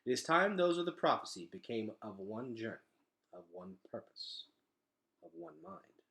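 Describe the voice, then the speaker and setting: A man's voice: mid-range voice, big pitch swings, normal speed, vocal fry, fairly bright tone. A man voicing a grave old underground leader who speaks formally and with authority in a storybook reading. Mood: grave, measured. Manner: solemn, formal, urgent orders.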